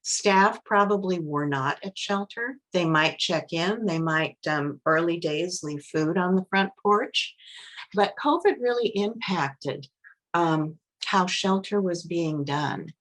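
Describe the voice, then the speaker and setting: Lowish-voiced woman, relaxed webinar presenter, conversational. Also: takes it slowly, low-quality recording. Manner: relaxed, chatty, with fillers.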